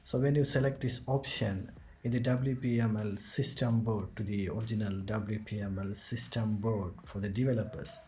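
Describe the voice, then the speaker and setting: A man hosting a screen-recorded software tutorial, explaining each step as he clicks through it. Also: fairly low pitch, fairly even intonation, moderate pace, a little hiss or hum. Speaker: tutorial host.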